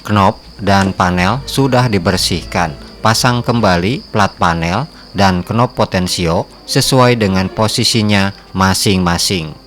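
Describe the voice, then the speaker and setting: A man reading scripted narration for a repair tutorial in a measured, formal, instructional way. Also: low voice, fairly bright tone. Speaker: host of an electronics repair channel.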